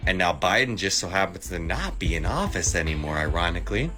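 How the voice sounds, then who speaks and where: A man's voice: deep voice, animated, brisk, bright, forceful tone, soft background music. A man delivering an insistent political rant to camera, full of sarcastic rhetorical questions. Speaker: TikTok commentator. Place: clip played within a compilation.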